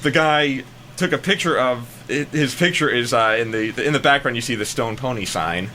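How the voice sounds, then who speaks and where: A man's voice: mid-range voice, normal speed, sharp tone, slight background noise. An American man chatting casually in a rambling, hesitant way, often trailing off before he finishes. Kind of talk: casual banter.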